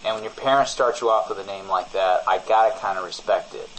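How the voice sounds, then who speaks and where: A man playing a shady, evasive businessman in improvised comedy banter, deadpan and casually menacing, often backtracking on himself. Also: on the low side, fairly quick, a little hiss or hum, natural voice, poor audio. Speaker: podcast co-host playing a character.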